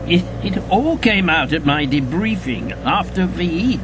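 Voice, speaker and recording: Weary, reserved old veteran. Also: male voice, sits high, expressive, measured pace, background noise. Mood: wry, guarded. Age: 70s or older. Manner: understated, hesitant, wry. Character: weary, reserved elderly male former wartime agent, camp survivor. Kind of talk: radio drama acting.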